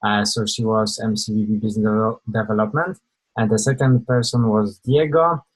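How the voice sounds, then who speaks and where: A man's voice: on the low side, fairly even intonation, a little fast, husky, rough recording. A young man from Poland, giving an informal webinar presentation over a video call, hesitant with many fillers and restarts.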